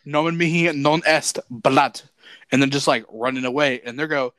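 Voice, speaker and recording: A man's voice: mid-range voice, moderate pace, fairly bright tone, no room sound. Crude, joking young man, dry close sound. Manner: crude, joking, swears freely. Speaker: podcast co-host. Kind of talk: casual chat.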